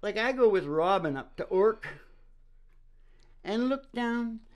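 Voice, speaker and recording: A man's voice: high-pitched, lively intonation, takes it slowly. A man hosting a casual philosophical chat, talking in a rambling, folksy, preachy way and often checking that he is agreed with. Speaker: host.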